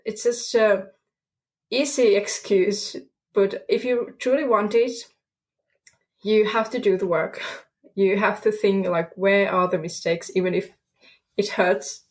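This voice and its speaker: Young Finnish woman, halting English. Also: medium pitch, steady tone. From Finland. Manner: halting, searching for words with fillers and laughs. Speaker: guest student. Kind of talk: podcast interview.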